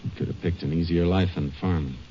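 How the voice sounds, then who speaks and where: A calm, probing Texas Ranger with a very deep American voice. He is laconic, in radio crime drama style, on a muffled and slightly noisy vintage recording. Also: fast. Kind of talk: old-time radio crime drama acting.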